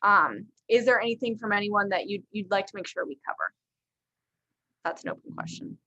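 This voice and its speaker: Bright-voiced woman hosting a video call. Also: medium pitch, lively intonation, fairly quick, highs cut off. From Afghanistan and Pakistan. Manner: upbeat, conversational, many fillers. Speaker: host presenting a church software platform. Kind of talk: casual video call presentation.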